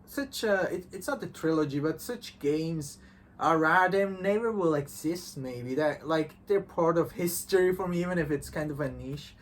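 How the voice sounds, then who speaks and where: Higher-pitched man, non-native English speaker. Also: moderate pace, a little hiss or hum. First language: not English. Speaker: co-host of a visual novel review channel. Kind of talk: casual unscripted discussion.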